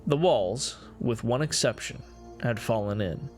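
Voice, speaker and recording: A man voicing a remorseful, perverse man confessing dark crimes in a solemn, theatrical reading of a gothic horror story. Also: medium pitch, expressive, soft background music, close to an everyday voice. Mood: grave, recounting. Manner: solemn, deliberate, theatrical, formal.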